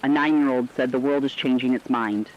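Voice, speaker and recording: Man as a thoughtful radio commentator, mid-pitched, earnest, compassionate, deliberate, scripted essay, muffled low-fidelity recording. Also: fairly even intonation, natural voice. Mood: reflective, tender. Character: reflective, compassionate male radio correspondent during a global crisis.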